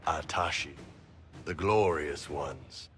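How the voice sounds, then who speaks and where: A man playing a big, blunt, boisterous horned mercenary warrior in a video game, gruff and casual. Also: extremely low pitch, takes it slowly, fairly bright tone, soft background music, heavily acted. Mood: musing, amused. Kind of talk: video game cut-scene dialogue.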